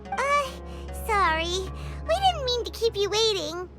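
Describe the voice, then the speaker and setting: A woman voicing a small, chirpy, earnest fairy companion in a video game, with a squeaky childlike voice. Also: very animated, measured pace, music in the background, heavily acted. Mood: worried.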